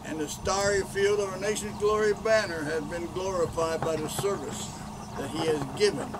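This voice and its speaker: A middle-aged man, a veterans' chaplain, giving a measured, solemn memorial address outdoors at a cemetery, recorded from a distance. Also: sits high, steady tone. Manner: measured, solemn ceremonial delivery. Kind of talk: formal graveside memorial address. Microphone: handheld mic at a distance, later unamplified. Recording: outdoor ambience and noise, mic from far off.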